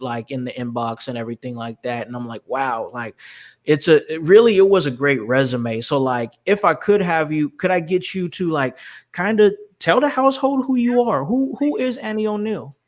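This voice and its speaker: A man hosting a wrestling talk stream, chatting casually with lots of restarts and fillers. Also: mid-range voice. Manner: rambling, many restarts and fillers. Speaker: podcast host. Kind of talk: casual livestream chat.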